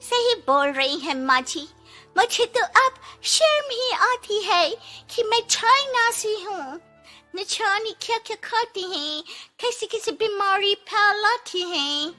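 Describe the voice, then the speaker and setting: A woman's voice: extremely high pitch, animated, slow pace, sharp tone, faint music behind, heavily acted. A woman voicing a kind-hearted, sorrowful young daughter-in-law in a moral story cartoon, plaintive and earnest. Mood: ashamed, regretful.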